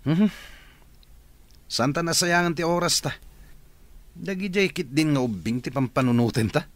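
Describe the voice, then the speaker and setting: An actor playing a calm, reassuring man in a radio drama. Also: on the high side, moderate pace, no room sound, natural voice.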